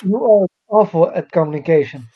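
A man in casual banter as a podcast host, heard through a muffled, low-fidelity microphone. He swears readily and puts his questions sardonically. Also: expressive, fairly quick, husky. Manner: profane, sardonic. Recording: dull lo-fi microphone sound.

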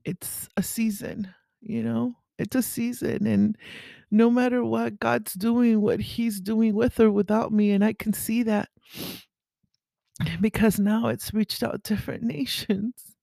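Female podcast host, raspy and creaky, earnest, halting delivery for a personal faith monologue. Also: a little high, fairly even intonation, warm tone, no room sound. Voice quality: raspy early-morning voice. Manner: halting, earnest, with throat clearing.

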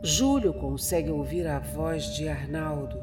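Male narrator for horror radio drama: unhurried, measured, theatrical delivery, music underneath. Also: a little high.

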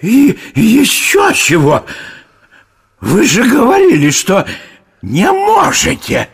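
Husky, haughty, greedy abbot. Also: male voice, very high voice, wildly swooping pitch, slow, exaggerated voice. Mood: outraged. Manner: imperious, theatrical, indignant. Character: greedy, haughty, irascible medieval abbot demanding repayment. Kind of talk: Russian audio play acting.

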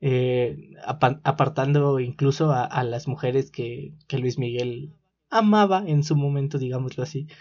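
A man's voice: mid-range voice, measured pace, darkish tone. A man with a Mexican accent, co-hosting a casual chat about a TV series. He laughs often and explains at length with fillers. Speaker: podcast co-host. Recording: dull lo-fi recording.

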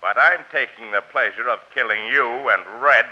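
A cool, menacing mob boss in 1940s radio style. His slangy threats come in a hard, forceful voice on a dull vintage recording. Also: mid-range voice, normal speed. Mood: cold, menacing. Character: menacing, cool, double-cross-hating male gang boss.

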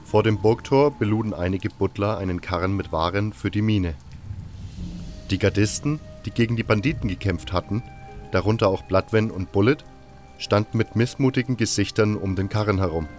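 A man narrating a first-person fantasy audiobook in a calm, even storytelling manner. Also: lowish voice.

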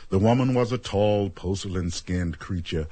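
A man narrating a radio drama as a measured storyteller, reading scripted prose. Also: low voice, dark tone, slightly rough, dry recording. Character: measured storyteller of a strange tale.

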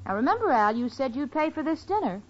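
An American actress playing a quick-witted, exasperated young single woman in old-time radio comedy, wry and emphatic. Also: high voice, very animated, highs cut off. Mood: brisk. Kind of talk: 1940s-50s radio comedy acting.

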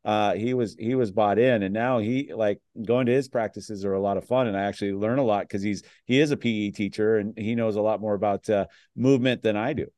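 Man, podcast host, mellow lowish voice, dry close sound, chatty fillers. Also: fairly even intonation, a little fast, hardly any pauses, no room sound. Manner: conversational, frequent "you know" and fillers. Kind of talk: casual podcast interview.

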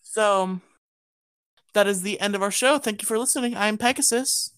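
A woman's voice: mid-range voice, normal speed, fairly bright tone, clear, resonant tone, no echo. Podcast host, woman, playful and rambling, dry close sound. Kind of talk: casual podcast outro chat.

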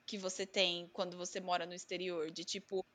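A woman from Brazil in a casual chat, talking in an expressive, animated way. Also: lowish voice. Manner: animated and expressive, quick outbursts.

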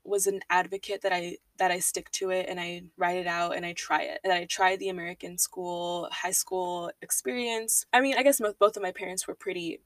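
A woman's voice: on the low side, fairly even intonation, fairly quick, hardly any pauses, fairly bright tone, dry recording, recorded from a distance. Young woman telling her story, mic set back. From Texas, US. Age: 20s. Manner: candid, emotional, fillers and restarts. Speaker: podcast host. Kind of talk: personal storytelling monologue.

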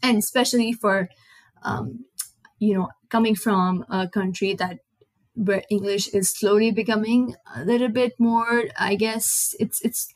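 A woman's voice: mid-range voice, steady tone, a little slow, no room sound, low-quality recording. A young woman from Nepal with a Nepali accent, a native Nepali speaker hosting a casual solo podcast in English, rambling and correcting herself as she goes. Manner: rambling, self-correcting, chatty. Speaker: podcast host.

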